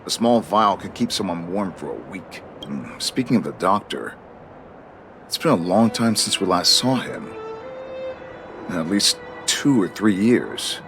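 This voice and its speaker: Expressive, stern but fair guard captain. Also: male voice, low-pitched, unhurried, over music, full character voice. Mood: frank, concerned. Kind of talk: video game voice acting.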